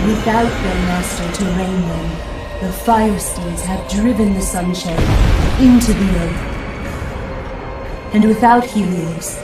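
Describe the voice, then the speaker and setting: Stern goddess in a game, slow and stately, echoey and far off, music behind. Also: female voice, fairly low pitch. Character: commanding goddess, stern and solemn. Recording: echoey, distant voice over music.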